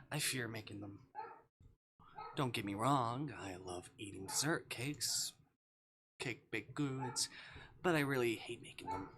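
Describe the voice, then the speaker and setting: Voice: medium pitch, sharp tone, slight background noise. A game streamer chatting casually to viewers, hesitant and playful, often muttering to themselves. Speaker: livestreamer. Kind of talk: casual live game stream chat, reading on-screen dialogue aloud.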